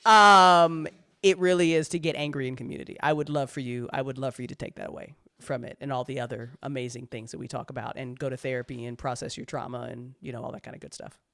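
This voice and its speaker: Rambling, sweary speaker in animated casual chat. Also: mid-range voice, fast, creaky. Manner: rambling, sweary, slangy, dramatic retelling. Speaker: audio drama writer.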